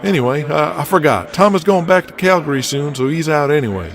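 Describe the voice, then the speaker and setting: A man's voice: on the high side, animated, a little fast. A man voicing a crude, fretful wrestling booker in an audio drama, blunt, foul-mouthed and scheming. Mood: pondering.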